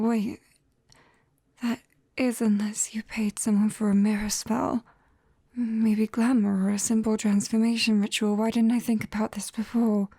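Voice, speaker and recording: A British woman playing a caring, clumsy young witch in a soft, often whispered ASMR roleplay, breathy and gently teasing, close to the mic. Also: a little high, no echo, full character voice. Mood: curious, musing. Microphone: close binaural microphone, dry.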